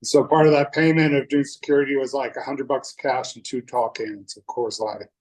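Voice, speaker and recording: A man's voice: medium pitch, flat delivery, normal speed, a little mellow, low-quality recording. Young man in his twenties, meeting-room testimony, plain middle voice, rambling and honest. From North Dakota. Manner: candid, loose, with many fillers and restarts. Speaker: speaker sharing his recovery story. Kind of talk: personal testimony at a recovery meeting.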